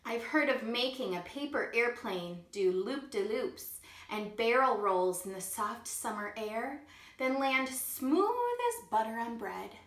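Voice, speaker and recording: Slow, bright-voiced woman reading a storybook. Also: fairly high pitch, expressive. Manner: gentle storytime reading, pausing to ask children questions. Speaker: kindergarten teacher. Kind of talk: reading a picture book aloud to children. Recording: echoey room.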